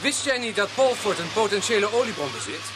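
An actor playing an earnest village police constable in a Dutch radio drama, eager and deferential, a little flustered. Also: male voice, high voice, animated, fast talker. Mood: explaining, uneasy. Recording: noisy old radio play recording.

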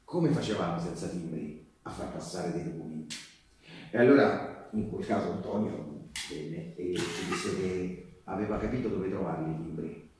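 A distant, heavily echoing theatre recording of an earnest wartime partisan. His low, mellow voice confides in the listener as a stage monologue, halting and repeating himself conversationally. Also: a little hiss or hum. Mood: conspiratorial. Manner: conversational, halting, with rhetorical tags and repetitions. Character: earnest male Resistance partisan postal worker recounting wartime sabotage. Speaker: stage actor. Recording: echoey live theatre, distant microphone.

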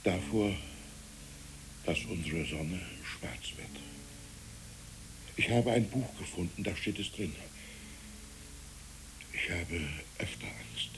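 An actor playing a gentle, fearful, whimsical middle-aged village pastor in a 1960s radio play, musing and deliberately phrased. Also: male voice, low voice, in a whisper, exaggerated voice, rough recording. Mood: anxious, hushed. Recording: hissy 1960s radio recording.

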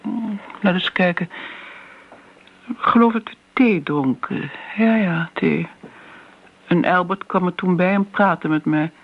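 A woman's voice: on the low side, slow, long pauses. An actress playing an elderly, wistful and fussy family friend in a Dutch radio play, rambling and flustered as she justifies herself. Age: older. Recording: dull, band-limited old radio recording.

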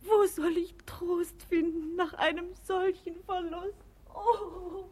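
A woman playing a tender, grieving young daughter in a classic radio play, breathy and melodramatic. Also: extremely high pitch, steady tone, takes it slowly, a little mellow. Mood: grief-stricken. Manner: melodramatic, plaintive. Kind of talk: German radio play acting of classic comedy.

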